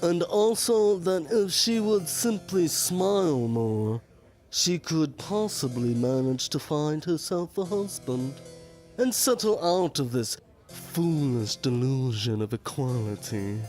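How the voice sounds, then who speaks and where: An actor playing a smug, sneering medical student, haughty and mannered, in a Gothic audio drama. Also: male voice, a little high, expressive, slow, a little mellow, faint music behind, rough recording. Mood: smug mockery.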